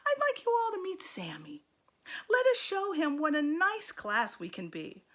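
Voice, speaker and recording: Storybook teacher acted by a woman: prim, kindly, patient, slow and high, gently setting children straight. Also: wildly swooping pitch, full character voice. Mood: polite, correcting. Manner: measured, gently correcting.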